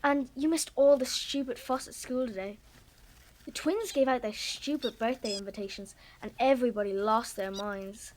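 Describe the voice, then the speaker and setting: Woman playing a high-voiced headstrong sulky schoolgirl. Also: moderate pace, slight background noise, natural voice. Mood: scornful. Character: sulky, headstrong schoolgirl talking to her pet rodents. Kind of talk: children's audio drama acting.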